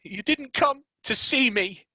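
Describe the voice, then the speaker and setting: A storyteller voicing a spoiled, sickly young boy with a shrill, whining voice. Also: lively intonation, takes it slowly, bright, forceful tone, no echo, full character voice. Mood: whiny. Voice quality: shrill, whiny.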